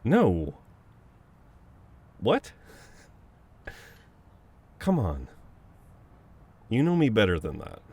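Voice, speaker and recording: A man's voice: lowish voice, huge pitch swings, drawn-out words, long pauses, slightly rough, heavily acted. A man playing a caring, teasing, dominant best friend in an intimate audio roleplay, talking casually and conversationally. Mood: firm, affectionate. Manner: casual, conversational, with soft chuckles and hesitations.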